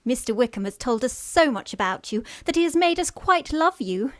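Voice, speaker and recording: Radio farce: actress as trusting, sincere young lady of Victorian times, very high pitch, gushing and polite. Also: lively intonation, normal speed, dry recording, clean studio sound. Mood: earnest, admiring.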